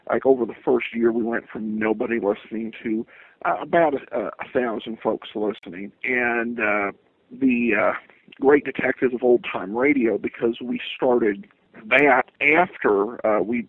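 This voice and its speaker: Halting man on a tinny phone line. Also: medium pitch, slightly rough, no echo. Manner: hesitant, halting delivery with frequent stammered repeats. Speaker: guest, podcaster of old-time radio shows. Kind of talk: interview answers.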